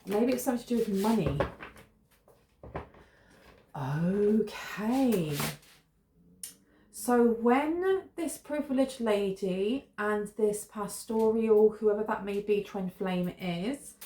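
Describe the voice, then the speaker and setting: A woman's voice: medium pitch, takes it slowly, long pauses, warm tone, a little hiss or hum. A British woman giving a casual tarot reading to camera, thinking aloud in a meandering, musing way with fillers. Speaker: tarot reader. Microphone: camera close in front. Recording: echoey room.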